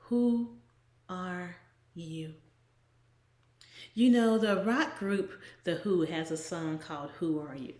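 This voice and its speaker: A woman giving an earnest, measured inspirational talk as a devotional blogger, often trailing off soft and breathy at the ends of phrases. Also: fairly low pitch. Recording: echoey room.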